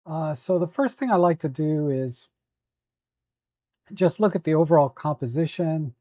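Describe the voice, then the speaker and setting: Man, hoarse, livestream tutorial host, halting with fillers, slightly high, dark tone. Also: no room sound. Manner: relaxed, halting, many fillers. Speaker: photo editing livestream host. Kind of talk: live tutorial chatting with viewers.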